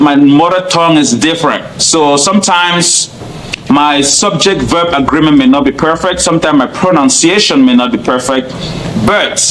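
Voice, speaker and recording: A man's voice: mid-range voice, fairly bright tone, slight background noise, low-quality recording. A man from Cameroon with a Cameroonian accent, English not his first language, answering interview questions earnestly and point by point. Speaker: guest.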